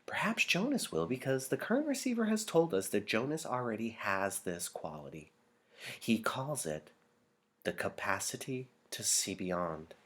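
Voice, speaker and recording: Man reading as authoritative female elder, low-pitched, solemn, ceremonial, deliberate, storybook dialogue. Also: very animated, a bit bright. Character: chief elder, solemn authoritative woman leader addressing community. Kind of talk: audiobook character dialogue.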